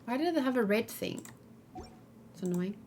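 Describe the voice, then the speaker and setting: A woman's voice: a little high, lively intonation, a little slow, darkish tone. A woman chatting casually as she plays a game on stream, thinking aloud in short, musing fragments. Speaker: gaming livestream host. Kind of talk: casual let's play commentary. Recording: background noise with keyboard typing. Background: faint noise, keyboard typing.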